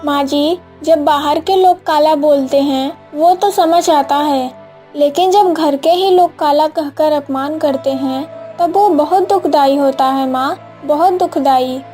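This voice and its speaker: A gentle, hardworking daughter-in-law who has been wronged, played by a woman in a cartoon moral story. The voice is high and plaintive, and the words come slowly and tenderly. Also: soft background music. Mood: hurt, pleading.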